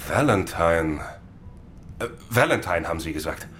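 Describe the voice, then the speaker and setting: An actor playing a smooth-talking, vain, evasive sea captain in a radio crime drama, with a grandiloquent, hedging manner. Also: male voice, deep voice, lively intonation, measured pace, a bit bright, slight background noise. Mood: evasive, amused. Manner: grandiloquent, chuckling, hesitant hedging.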